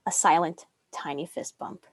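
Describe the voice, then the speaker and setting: A woman's voice: lowish voice, moderate pace, no echo. A young woman reading screenplay stage directions as a narrator. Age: young adult.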